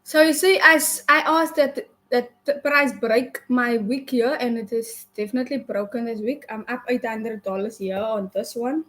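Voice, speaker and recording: A young Afrikaans-speaking woman talks her way through a live trading session in loose, unscripted chat. Her voice sits a little high. She often stops mid-thought and starts again. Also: a bit bright. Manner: halting, many restarts and self-corrections. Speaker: live forex trader. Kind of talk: casual live-stream chat while trading.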